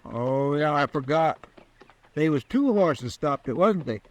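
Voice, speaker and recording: A man's voice: fairly high pitch, expressive, unhurried. A man with a rural Western American drawl playing a simple, folksy, deferential lawman's sidekick in a radio western. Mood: curious, eager. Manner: folksy, hesitant, plain-spoken. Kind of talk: old-time radio western acting.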